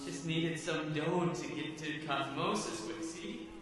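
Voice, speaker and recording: An actor playing a nervous, bewildered small-time hitman on the run in an old-time radio drama, with halting, streetwise delivery. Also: on the high side, fairly even intonation, very echoey, far from the mic, lightly acted. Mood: defensive, rattled. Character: jittery, bewildered male would-be hitman on the run.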